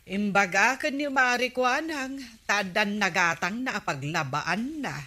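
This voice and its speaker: A gossipy housewife in a radio comedy, forever grumbling about chores in an Ilocano accent. Her voice is bright and mid-pitched, with slow, complaining drawls. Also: animated. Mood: gossiping. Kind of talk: broadcast radio comedy drama acting.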